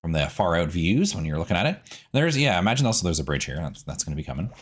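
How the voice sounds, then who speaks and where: A man's voice: sits low, sing-song, quick pace. A man hosting a gaming series, giving chatty, rambling, easygoing casual commentary as he plays.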